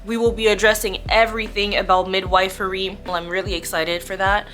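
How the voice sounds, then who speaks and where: A young adult woman speaking as a vlogger in a casual storytime, candid and chatty with expressive emphasis. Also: medium pitch, a little fast. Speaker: vlogger telling her birth story. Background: background music.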